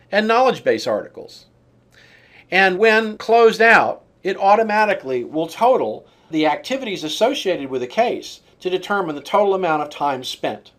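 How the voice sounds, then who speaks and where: Man, slightly high voice, scripted tutorial narration, measured and explanatory, software trainer delivery.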